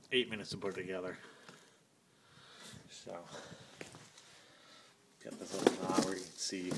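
Casual, plain-spoken man, a bit low, doing a rambling outdoor phone review. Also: background noise. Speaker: product reviewer. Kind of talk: casual product review vlog. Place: outdoors by a van on pavement.

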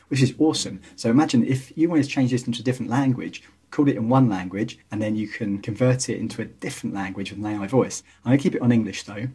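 Male tutorial host, 30s. Very husky tone, mid pitch, relaxed step-by-step explaining. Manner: casual, explanatory. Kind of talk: screen-recorded software tutorial narration.

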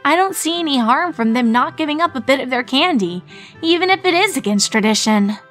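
Reasonable cartoon mare for a storybook reading, earnest, raised pitch, faint music female reader. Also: expressive, a bit bright. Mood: thoughtful. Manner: earnest, measured, higher-voiced. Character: thoughtful, reasonable young female cartoon pony.

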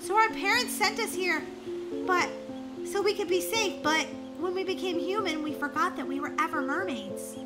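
A performer voicing an excitable, earnest young mermaid princess with melodramatic, exclamatory delivery in a children's story. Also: extremely high pitch, normal speed. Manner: melodramatic, exclamatory, stammering when upset. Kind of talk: dramatised children's story acting. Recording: music behind the voice.

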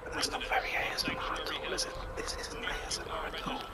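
A man whispering a bumbling, deadpan mock ASMR commentary while playing a game. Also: fairly high pitch, fast. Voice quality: whispery, breathy. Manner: deadpan, bumbling, easily annoyed. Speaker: gaming video host.

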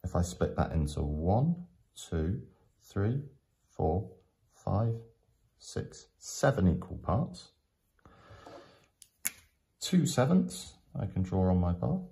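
Male tutor for step-by-step lesson narration: very low pitch, gravelly creak, patient explanatory delivery. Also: very animated, mellow. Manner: patient, explanatory, with frequent pauses. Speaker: teacher.

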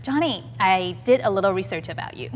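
A woman's voice: mid-range voice, lively intonation, brisk. A woman in her thirties hosting a talk show, interviewing a guest and switching between Mandarin and English. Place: TV talk show studio set with sofas. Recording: reverberant room with background noise.

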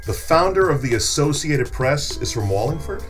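A man's voice: fairly high pitch, lively intonation, normal speed, faint music behind, lightly acted. A middle-aged man playing a genial, teasing local podcast host in a scripted interview. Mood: curious. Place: small furnished podcast studio. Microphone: studio microphone on a desk stand.

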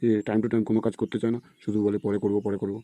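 A man's voice: lowish voice, flat delivery, rapid-fire, dark tone, no echo, close to an everyday voice. A man voicing a worried, stern father in an amateur cartoon, grumbling and repetitive in his advice.

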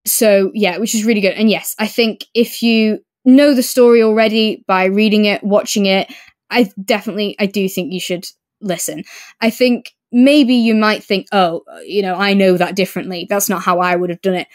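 A woman talks in the relaxed style of a book review podcast. Her pitch is average and her delivery is effusive and keen. The sound is dry, with very little room. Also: normal speed, no echo. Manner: enthusiastic, effusive. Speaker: podcast co-host. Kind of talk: casual book review discussion.

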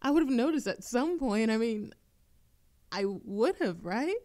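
Slow-talking, teasing young barista played by a woman. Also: a little high, lively intonation, mellow. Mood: flustered, disbelieving.

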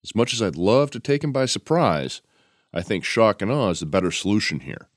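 A man's voice: on the low side, very animated, fairly quick, no room sound, clean studio sound. A man playing a decisive, combative fighter in an audio drama, firm and blunt. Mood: resolute. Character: decisive, combative fighter urging direct attack.

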